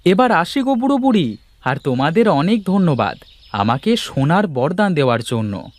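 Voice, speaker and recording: Animated, exclaiming village boy, acted out. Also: medium pitch, moderate pace. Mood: cheerful, eager. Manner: eager, exclaiming. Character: good-hearted, humble poor village boy who loves simple food.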